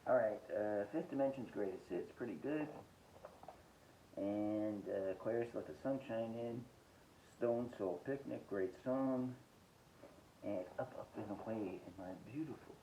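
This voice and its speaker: A man hosting a casual, rambling music collection review, listing titles haltingly and giving blunt, short verdicts. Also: fairly low pitch, warm tone, slight background noise, rough recording. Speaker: host of a music collecting podcast.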